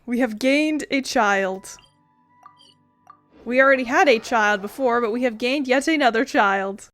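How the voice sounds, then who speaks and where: Playful, rambling woman with a higher voice. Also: a little slow, a bit bright, soft background music. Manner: rambling, playful, swears casually. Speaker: gaming video host. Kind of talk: casual let's play commentary with dialogue read-aloud.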